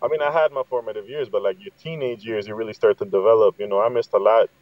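A man's voice: fairly low pitch, quick pace. A middle-aged man from Guatemala telling reflective, conversational stories as a podcast guest over a video call. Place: inside a parked vehicle. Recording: slightly dull, roomy sound.

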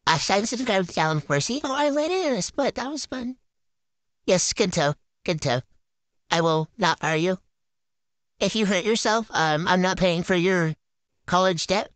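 A woman's voice: on the low side, expressive, normal speed, no echo, natural voice. A woman voicing a bratty, ditzy female gymnast in a silly game roleplay, with a boastful valley-girl drawl, on a dry close mic. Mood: bossy. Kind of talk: improvised game roleplay voiceover.

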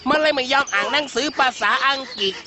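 Exasperated cartoon dad, thick Chinese accent. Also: male voice, very high voice, fast talker, background noise. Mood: exasperated complaint. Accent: Chinese-accented Thai. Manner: fretful, scolding, bewildered. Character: exasperated, gruff Chinese-Thai father. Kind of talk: Thai children's cartoon voice acting. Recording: muffled audio with music behind.

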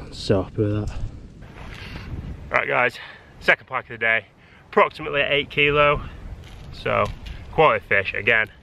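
A young man talks to his camera in a chatty, upbeat way. His voice has a gravelly edge, and a faint hiss of wind and outdoors sits behind him on the action-cam recording. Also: medium pitch, a little slow, long pauses, bright, forceful tone. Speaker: fishing vlogger. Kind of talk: casual outdoor commentary. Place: windy riverbank outdoors. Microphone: action camera on body or tripod.

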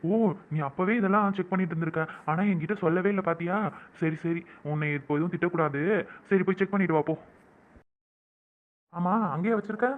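Caring young husband, man acting: quick, high, reassuring; phone-like recording. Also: lightly acted. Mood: teasing. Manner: fussing, reassuring. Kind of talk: home-made skit acting. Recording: tinny phone-like sound.

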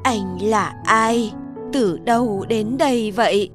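A woman voicing a suspicious, puzzled elderly villager in a cartoon folktale, speaking slowly and questioningly. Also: a little high, over music, pitched below the performer's own voice. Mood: puzzled, wary.

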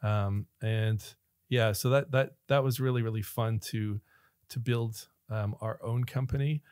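A middle-aged man from Northern Ireland with an American accent, a relaxed guest telling stories in a casual studio interview. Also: lowish voice, measured pace, no room sound. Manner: relaxed storyteller, laughs often, gestures with hands. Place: small podcast studio. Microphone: studio mic on boom arm, headphones on.

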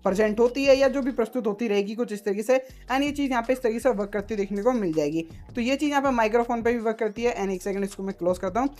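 A man's voice: very high voice, a little mellow. A man giving a casual phone tutorial as a tech YouTuber, mixing in English terms and leaning on repeated filler phrases.

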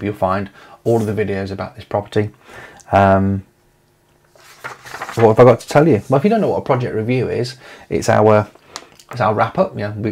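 A man talks to camera at his desk in a home office. His voice sits low and has a gravelly edge. He rambles easily, with lots of fillers and restarts. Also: darkish tone. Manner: rambling, with many fillers and restarts, leafing through papers. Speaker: property investment company owner. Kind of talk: casual to-camera video talk.

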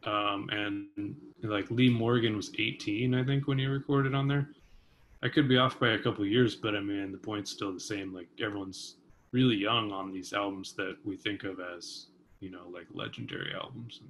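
Lowish voiced guy on a video call from his kitchen, muses a lot, lots of likes and ums, bit of room hum. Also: normal speed, a bit bright. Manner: thoughtful, hedging, many fillers. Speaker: vibraphonist and composer guest. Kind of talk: casual conversation. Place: home kitchen. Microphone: video call webcam.

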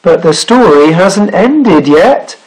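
A man voicing a genial, teasing, adventurous sailor in a children's storybook, speaking in a deliberate way. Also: very high-pitched, very animated, gravelly, pitched above the performer's own voice, heavily acted, low-quality recording.

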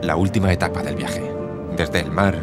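An actor playing a devoted but impatient husband in an audio drama. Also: male voice, low voice, steady tone, moderate pace, over loud music, lightly acted. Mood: sombre narrating. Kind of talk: audio drama acting and narration.

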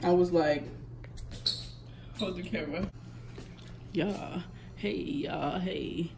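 A young woman talks slowly into a phone held at arm's length, her voice gravelly with fry. She giggles often and slips into whispers as she chats to her viewers. A faint hiss of noise sits under her voice. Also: fairly low pitch. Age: young adult. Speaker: vlogger. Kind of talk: casual vlog chat. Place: indoor museum galleries.

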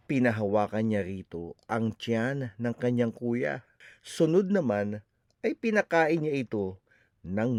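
A man reads a children's picture book aloud, his fairly low voice rising and falling with lively expression. The delivery is warm-paced and storybook-like, recorded close and dry with no room sound. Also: no room sound. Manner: expressive, animated narration.

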